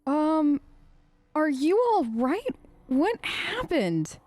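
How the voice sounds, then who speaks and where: A woman's voice: high-pitched, very animated, extremely slow, a little mellow, dry recording. A woman hosting a role-play let's play, chatting casually and a little hesitantly to game companions in character.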